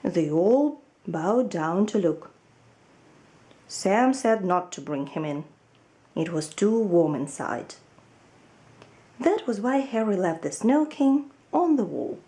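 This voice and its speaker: A woman reads a children's story in a soft, mellow voice. Her pace is slow and measured, and her intonation rises and falls expressively as she narrates. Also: mid-range voice, expressive. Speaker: storybook reader.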